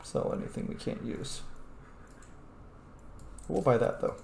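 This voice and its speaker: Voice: a little slow, darkish tone. A game streamer giving casual, playful, informal commentary in murmured asides. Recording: roomy, faint noise.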